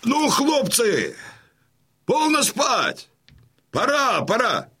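An actor playing a gruff, imperious old Cossack colonel and father in an audio drama, with a husky voice and a bluff, emphatic delivery. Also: very high voice, huge pitch swings, very slow, sharp tone, soft background music, full character voice. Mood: brisk, commanding. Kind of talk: Russian audio drama acting.

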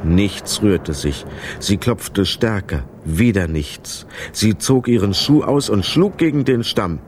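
A man's voice: on the low side, animated, slow, darkish tone, slight background noise. A man narrating a children's radio play as a scripted storyteller.

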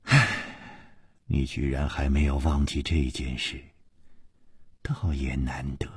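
A man acts out a guarded schemer in a wuxia audiobook. The delivery is cool and sardonic, slow and husky. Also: sits low, steady tone, mellow, no room sound, clean studio sound. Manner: sneering, hesitant when cornered.

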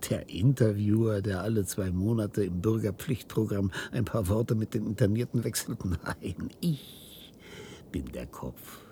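An actor playing a weary, guilt-ridden old inventor in a science-fiction radio drama, halting and theatrical. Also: male voice, fairly low pitch, slight background noise. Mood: grave. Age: older. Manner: halting, theatrical delivery with hushed passages.

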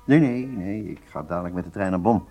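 An actor playing an agitated, businesslike intelligence handler in a radio drama, with halting delivery and emphatic outbursts. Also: male voice, deep voice, mellow, husky, soft background music. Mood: brisk, businesslike.

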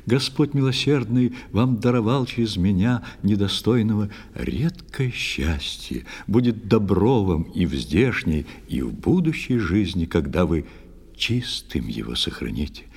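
A man's voice: lowish voice, very animated, unhurried, darkish tone, breathy edge, in a large hall, exaggerated voice. A man playing a devout priest, solemn and declamatory, in a poetic verse audio drama. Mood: solemn joy. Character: devout, joyful priest giving a solemn blessing.

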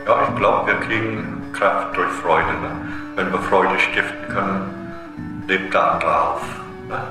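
A man's voice: low-pitched, slow pace. A man in a reflective interview, musing aloud and often adding small questions for agreement, in a roomy recording. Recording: roomy echo, music behind.

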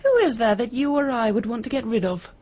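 An actress playing a brisk, practical, inquisitive British woman in a radio drama, frank and questioning. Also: fairly high pitch, animated, mellow, husky. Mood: determined, practical. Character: brisk, practical, inquisitive Englishwoman plotting an investigation.

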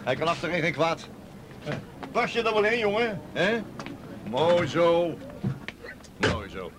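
A man's voice: a little high, very animated, slow pace, noisy background. An actor playing an easygoing, joking policeman in a naturalistic radio play. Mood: wry, brisk. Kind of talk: naturalistic Dutch radio drama dialogue.

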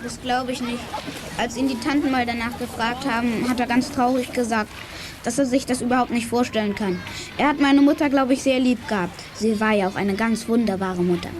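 Plucky, high-voiced child detective played by a woman. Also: fairly even intonation, a little fast, lightly acted. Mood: wry, reflective. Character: plucky child radio detective, wry, cheeky. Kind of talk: German children's audio drama acting.

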